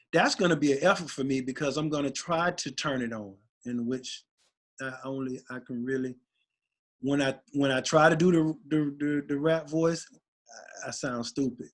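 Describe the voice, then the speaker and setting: A man, a rapper guest, talking casually and excitedly in an interview, rambling with many restarts. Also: mid-range voice. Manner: animated, rambling, voice gets raspy when excited.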